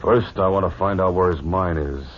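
An actor playing a callous, scheming saloon owner, sneering and domineering, in a 1950s western radio drama. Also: male voice, sits low, normal speed. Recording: dull, noisy old radio recording.

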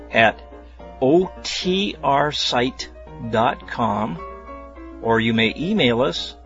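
A man's voice: medium pitch, a bit bright, dull audio. A man hosting a radio program, addressing listeners informally. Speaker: host of old-time radio show.

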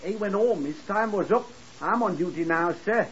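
An actor playing a slow-witted, eager young hotel porter in a 1950s radio drama, deferential and rambling. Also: male voice, sits high, expressive. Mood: eager, uncertain. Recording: muffled, hissy 1950s radio recording.

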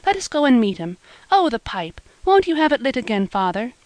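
A woman doing a gentle young country girl. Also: fairly high pitch, sing-song, fairly quick, no echo, voice pushed up. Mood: tender. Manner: earnest and tender, old-fashioned rural speech.

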